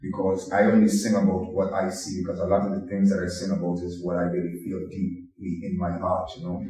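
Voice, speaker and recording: Man, middle-aged, lowish voice, measured storytelling, roomy echo, distant mic. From Guyana. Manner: calm, measured, ends thoughts with "you know". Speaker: dancehall artist. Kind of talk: reflective interview storytelling. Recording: echoey room, mic far off.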